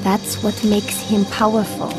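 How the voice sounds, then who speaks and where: An actress voicing a solemn young woman with a dark destiny in a video game cutscene, speaking calmly and eerily. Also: mid-range voice, unhurried, lightly acted. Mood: quiet. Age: young adult. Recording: game audio with music.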